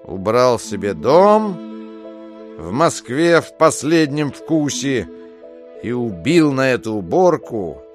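An actor playing a self-pitying, theatrical schemer who laments in rhetorical flourishes in an audiobook drama. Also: male voice, mid-range voice, big pitch swings, slow. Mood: aggrieved, explaining. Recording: studio drama with clear music behind.

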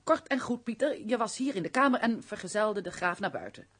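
A woman's voice: medium pitch, lively intonation, a little fast, slightly rough. A woman playing a composed, firm, tactful young companion in a radio play, practical and controlled. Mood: brisk, tactful.

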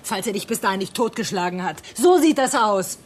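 A woman's voice: mid-range voice, animated, fairly quick, slight background noise, lightly acted. An actress playing a defiant female doctor held hostage in a radio drama, sarcastic, biting and taunting. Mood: bitterly accusing.

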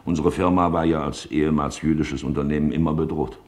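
Radio feature casting: sombre witness, extremely low voice, sober delivery, roomy echoing sound. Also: male voice, steady tone, brisk, a little mellow. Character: sombre male witness, helper of a family in hiding. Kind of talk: scripted testimony in a radio feature.

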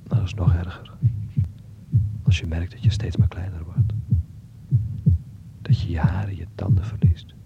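A man acting a sick, frightened, earnest teenage boy in a radio play, speaking in a halting, intimate, creaky half-whisper. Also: deep voice, level tone. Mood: frightened, pleading. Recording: muffled old radio play recording.

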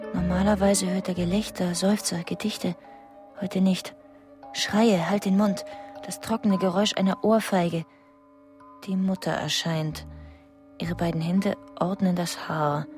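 An actress playing a tense, suspicious wife, narrating a radio drama in clipped, insistent fragments. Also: female voice, mid-range voice, a little mellow, close to an everyday voice. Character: tense, suspicious wife convinced her husband kills.

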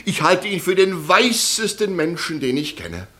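Florid, high-pitched theatrical defence lawyer. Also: male voice, sing-song, unhurried, sharp tone, full character voice. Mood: oily pleading. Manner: pompous, oratorical. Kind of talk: 1960s German radio drama acting.

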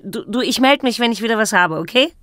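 A woman's voice: on the high side, lively intonation, quick pace, fairly bright tone, no echo, studio quality. An actress playing a cocky, secretive young woman chatting in a breezy, slangy way in a radio play. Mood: cocky, excited. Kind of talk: radio play, casual one-sided chat.

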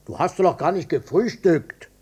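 Elderly stubborn father, husky, mellow timbre, offhand colloquial radio drama delivery, defensive, gravelly. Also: fairly high pitch, expressive, moderate pace. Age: older. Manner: blunt, colloquial, swinging between offhand and touchy. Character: gruff widowed old father, stubborn, defensive, matter-of-fact.

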